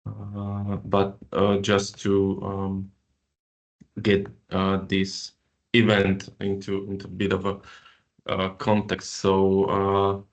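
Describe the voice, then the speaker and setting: Slow, gravelly low-voiced man. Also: completely flat delivery, dull audio. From Slovakia. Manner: hesitant, many fillers and restarts. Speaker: project coordinator. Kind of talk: online info session presentation. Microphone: video call.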